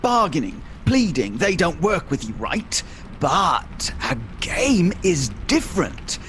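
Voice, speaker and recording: A man's voice: fairly high pitch, very animated, slow pace, a bit bright, a little hiss or hum, full character voice. A man acting a mild, sheepish husband and father in a comic radio play, hesitant and bantering. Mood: persuasive. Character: hapless, mild-mannered husband and father, freshly dead.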